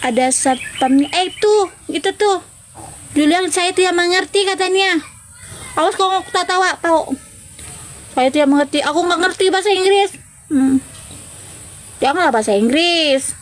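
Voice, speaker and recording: A woman's voice: extremely high pitch, normal speed, pauses often. A woman chatting casually and informally with viewers as the host of a live stream. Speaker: livestream host.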